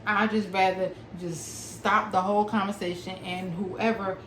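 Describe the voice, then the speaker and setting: A young adult woman talking to her viewers, stuttering with long pauses and blocks and smacking her lips between words. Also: mid-range voice, flat delivery, fairly bright tone, roomy sound, slight background noise, rough recording. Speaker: small business owner and author. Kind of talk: personal talk to camera.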